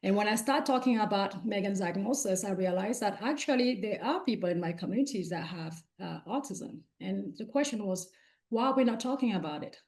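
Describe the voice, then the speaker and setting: Woman from Cameroon, French native, mid-pitched, earnest conference speaker with fillers. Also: animated, brisk, a little mellow. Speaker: guest speaker. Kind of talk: conference panel talk.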